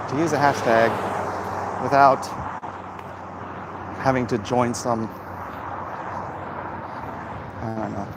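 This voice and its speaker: A man talks slowly at a middling pitch, halting between short phrases. He gives opinions in a casual, off-the-cuff style, like a host answering viewer comments. The recording has clear background noise. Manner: halting, frequent throat clearing.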